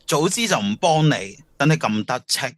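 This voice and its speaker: A man's voice: on the high side, big pitch swings, measured pace, fairly bright tone, exaggerated voice. A man acting a blunt, grumbling teenage schoolboy and loyal best friend in a youth radio drama, with a Hong Kong accent. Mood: exasperated.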